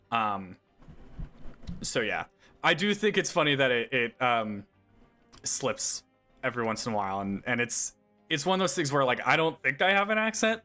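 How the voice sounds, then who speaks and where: A young man from California with a Californian accent, chatting casually as a streamer while playing, full of "like" and "um". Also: mid-range voice. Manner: relaxed, many "like" and "um" fillers. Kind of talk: casual chat while playing and reading game text aloud.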